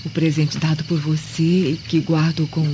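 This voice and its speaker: A woman's voice: low voice, little pitch movement, measured pace, mellow, highs cut off, a little hiss or hum. An actress playing a deceitful Roman noblewoman in a Brazilian radio drama, sweetly over-explaining herself with a Brazilian accent. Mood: sweetly evasive. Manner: flustered, wheedling, over-explaining. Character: deceitful Roman noblewoman, defensive and sugary wife.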